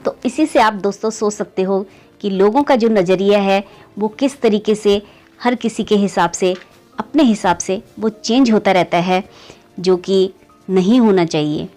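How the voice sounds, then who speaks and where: A woman's voice: unhurried, a little mellow, soft background music. Woman telling a moral story to friends. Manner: keeps calling listeners "friends", pitch rising on story beats. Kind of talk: conversational moral story narration.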